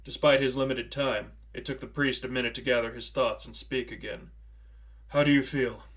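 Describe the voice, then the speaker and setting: A man narrates prose fiction in a scripted audiobook manner. His voice sits at a middle pitch for a man, delivering the story as written narration. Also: steady tone, a little fast, a bit bright.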